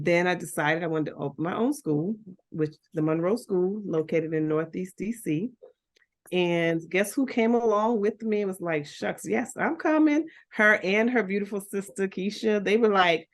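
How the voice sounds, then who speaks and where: A middle-aged woman from Liberia, a guest chatting casually and telling her life story with laughs and restarts. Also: medium pitch. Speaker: guest, school founder. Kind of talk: casual chat.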